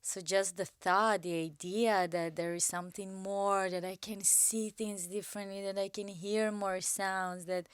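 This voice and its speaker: A woman guest on a podcast, speaking in a thoughtful, soft-spoken way in casual chat. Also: mid-range voice, level tone, measured pace, few pauses, smooth, no room sound, studio quality.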